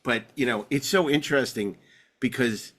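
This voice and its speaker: A man's voice: mid-range voice, moderate pace, fairly bright tone. A man hosting a podcast in casual, chatty conversation, talking like an enthusiastic fan. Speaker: podcast host. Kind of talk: casual chat.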